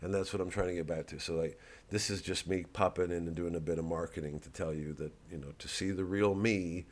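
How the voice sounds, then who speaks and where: A man's voice: low voice, steady tone, fairly quick. A man giving an off-the-cuff comic monologue as a comedian plugging a show, rambling, interrupting himself, sometimes profane and sardonic. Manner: rambling, self-interrupting, acting out bits. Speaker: comedian promoting a live show.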